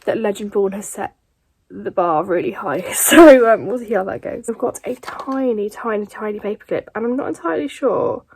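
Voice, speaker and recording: A woman's voice: mid-range voice, normal speed, darkish tone, slightly rough. A young woman chatting enthusiastically about books in a casual vlog. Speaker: book vlogger.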